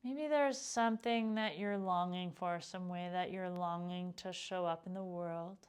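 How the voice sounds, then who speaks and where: A woman leading a guided meditation as a teacher, speaking in a calm, gentle, unhurried way with pauses. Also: on the low side, clean tone. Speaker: yoga nidra meditation teacher.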